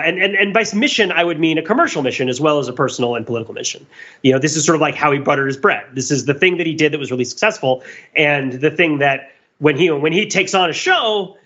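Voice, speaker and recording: A man's voice: fairly high pitch, sharp tone, lo-fi sound. A man co-hosting a podcast, talking in a casual, analytical, discursive way and often checking in with a tag question. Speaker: podcast co-host.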